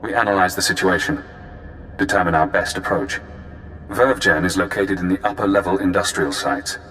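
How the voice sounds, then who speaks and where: An actor playing a composed, analytical spy handler in a video game, speaking in a polite, measured, formal way. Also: male voice, fairly bright tone, faint music behind. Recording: echoey, with faint low rumbling noise.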